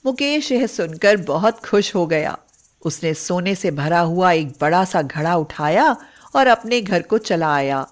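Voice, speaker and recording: Slow-paced woman narrating a story. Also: fairly low pitch, animated. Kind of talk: scripted narration of Hindi moral bedtime stories.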